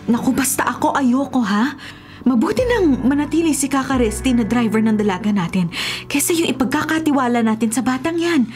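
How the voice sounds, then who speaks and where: A woman's voice: sits high, animated, brisk, a little mellow. An actress playing a wary, middle-aged mother who is suspicious and opinionated, in a radio drama. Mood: worried, disapproving. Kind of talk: Filipino radio drama acting. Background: background music.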